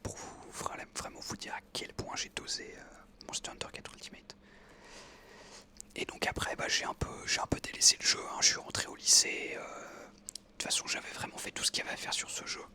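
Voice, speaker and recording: A young man in his twenties whispers the whole way through, his breathy voice very bright and crisp on the consonants. He tells a story outdoors on an evening walk, pausing often to hunt for words. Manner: whispering throughout, hesitant with many fillers. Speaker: ASMR creator.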